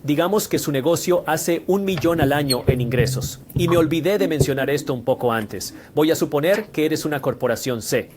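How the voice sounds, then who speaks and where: A man's voice: fairly high pitch, lively intonation, normal speed, few pauses, background noise. A man giving a methodical finance lesson, walking through tax brackets and figures step by step. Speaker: finance educator.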